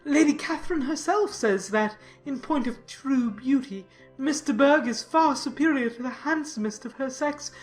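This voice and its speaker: A woman's voice: sits high, normal speed, hardly any pauses, soft background music, voice pushed up, lightly acted. A woman voicing a pompous, self-important and obsequious clergyman in a dramatized classic novel, speaking in wordy, formal sentences. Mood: fawning, self-satisfied.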